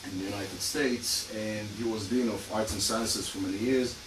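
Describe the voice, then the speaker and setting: A man's voice: lowish voice, steady tone, moderate pace. A man with a Hungarian and Israeli accent giving an academic lecture as a criminologist, with many fillers and dry asides. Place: lecture room. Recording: echoey hall, far mic, noisy.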